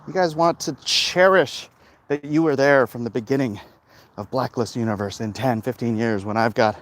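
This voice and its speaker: A middle-aged man talking casually and haltingly to his viewers in a monologue recorded while out walking. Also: medium pitch. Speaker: comic book creator vlogging. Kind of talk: casual walk-and-talk monologue. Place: outdoors, walking along a hilly route.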